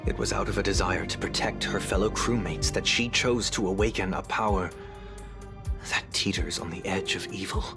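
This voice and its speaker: A calm, earnest young ally with a slightly low voice. Game music plays clearly beneath him. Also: male voice, normal speed. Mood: serious. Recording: game cutscene over music.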